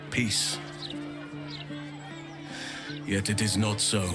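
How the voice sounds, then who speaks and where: An actor playing a gentle, earnest, world-weary druid in a fantasy video game, speaking in a grave, measured, reflective way. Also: male voice, on the low side. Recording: loud music behind.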